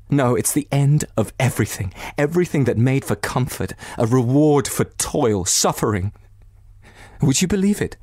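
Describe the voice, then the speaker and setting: A man voicing a distraught, bitter betrayed wife in a novel, near tears, with anguished, halting and emphatic delivery. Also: mid-range voice, darkish tone, husky, no echo, clean studio sound, pitched above the performer's own voice. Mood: spiteful despair.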